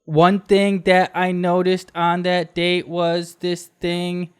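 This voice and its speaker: A man's voice: high voice, monotone, slow pace, clean tone. A man co-hosting a casual comedic TV recap podcast, delivering his bits with joking mock seriousness. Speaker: podcast co-host.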